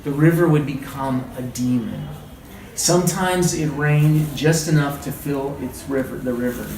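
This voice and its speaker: A man's voice: medium pitch, measured pace, a little mellow. A man leading an interactive read-aloud lesson, speaking in an encouraging, conversational way and repeating what listeners say. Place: indoor group training session. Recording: roomy, distant, clearly noisy room recording.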